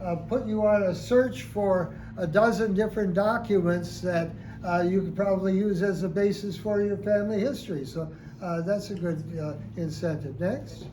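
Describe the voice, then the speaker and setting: A man leading a community talk and audience question session, encouraging and hesitant with many pauses. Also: high-pitched, warm tone. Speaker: guest speaker leading a discussion. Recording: roomy hall with background noise.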